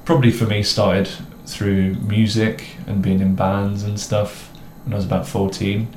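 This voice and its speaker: A young adult man from the English Midlands with a mixed, somewhat posh English accent, co-hosting a casual chat in a relaxed, rambling way with self-mocking jokes. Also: fairly low pitch, a little slow. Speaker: co-host. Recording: echoey room with faint noise.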